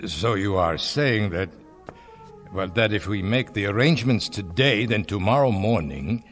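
Earnest old American husband, emotionally swinging voice. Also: male voice, fairly low pitch, lively intonation. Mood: hesitant, anxious. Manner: halting, emotional, repeats himself. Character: earnest old husband, frail, longing, increasingly desperate. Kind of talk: radio drama acting. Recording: reverberant radio drama with music underneath.

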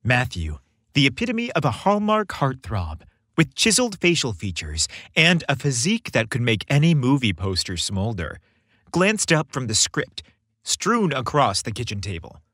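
A man's voice: mid-range voice, huge pitch swings, moderate pace, fairly bright tone, husky, dry recording. A man hosting a story podcast, reading scripted narration with a playful, theatrical delivery, close on the microphone.

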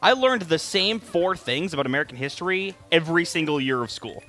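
A man's voice: fairly high pitch, expressive, brisk, pushed and bright. A man co-hosting a gaming video in casual banter, often flustered, giggly and playfully protesting. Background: video game music.

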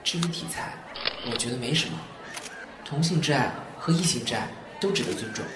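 Man acting modest young male newcomer, audio drama, sincere, deferential, mid pitch, roomy echo. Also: expressive, a little fast, background noise, close to an everyday voice. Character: earnest, modest young male novice actor.

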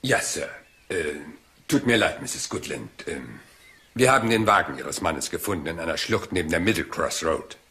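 An actor playing a rumpled, absent-minded police inspector who speaks politely and haltingly in a German crime audio drama. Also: male voice, low voice, expressive, bright, forceful tone, slightly rough, exaggerated voice. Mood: apologetic. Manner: hesitant, polite, halting, seemingly scatterbrained.

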